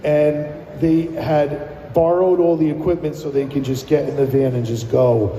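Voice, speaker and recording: Man, very dark mellow tone, slightly higher pitch, rambling chatty storyteller, echoey room with long reverb. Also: normal speed, slight background noise. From New Jersey. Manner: rambling, animated storyteller with many fillers. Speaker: guest musician. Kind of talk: casual radio interview storytelling. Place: live broadcast at a plaza venue.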